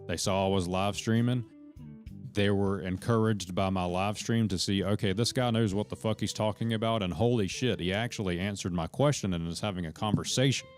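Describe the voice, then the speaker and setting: A man's voice: on the low side, fairly quick, faint music behind. A man chatting to viewers on his live stream, rambling from point to point, swearing freely and addressing people in the chat directly. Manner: rambling, profane, jumps between points; talks to chat members directly.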